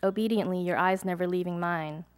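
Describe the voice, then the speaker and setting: A young adult woman, a poet, reading her poems aloud at a live event, measured and deliberate, with chatty, hesitant asides between pieces. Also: lowish voice, fairly even intonation. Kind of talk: poetry reading with casual asides to an audience.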